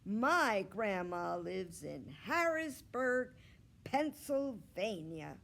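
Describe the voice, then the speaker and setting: A woman voicing eager young second-grade schoolchildren, loudly and proudly declaiming their answers. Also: mid-range voice, sing-song, extremely slow, vocal fry, exaggerated voice. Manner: loud, declaiming short answers.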